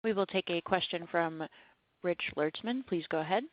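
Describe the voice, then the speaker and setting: Lady call operator reading the script, lowish voice, dry muffled phone audio. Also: normal speed, no room sound. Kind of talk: scripted formal announcement. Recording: dull, dry phone line.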